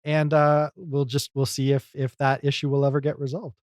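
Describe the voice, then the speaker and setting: A man's voice: mid-range voice, level tone, moderate pace, darkish tone, dry recording, clean studio sound. A man in a casual podcast discussion, talking in a rambling, conversational way as a commentator.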